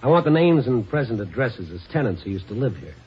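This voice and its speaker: Guy playing a wry tough investigator in an old radio drama, expressive voice, muffled and really noisy recording. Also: mid-range voice, natural voice. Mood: wry, probing. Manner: wry, brisk, colloquial. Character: wry, hard-boiled male investigator, curious and unflappable. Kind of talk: old-time radio drama acting and narration.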